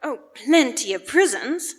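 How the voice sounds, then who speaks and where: A woman's voice: sits high, lively intonation, a little slow. A woman playing a courteous, genteel charity collector in a live radio play, with formal, polite phrasing. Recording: live in echoey church hall.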